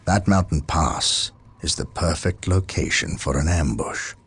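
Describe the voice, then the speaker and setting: An actor playing a stern, proud space marine captain, commanding, formal and grave, in a sci-fi video game. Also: male voice, a bass voice, unhurried, a bit bright, slightly rough, full character voice.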